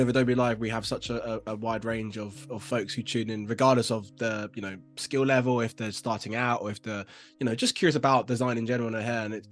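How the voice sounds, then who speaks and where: A man's voice: mid-range voice, dry recording. Chatty young man hosting a stream. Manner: chatty, lots of fillers and back-channel "nice"s. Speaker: livestream host. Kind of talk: casual livestream chat. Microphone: video call.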